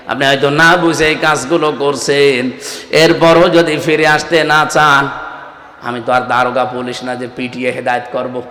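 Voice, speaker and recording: A man preaching a fiery, exhorting Islamic sermon to a gathering. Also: on the high side. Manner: exhorting, calls on listeners to answer louder; breaks into slow melodic Arabic recitation. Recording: echoing PA sound with long reverb.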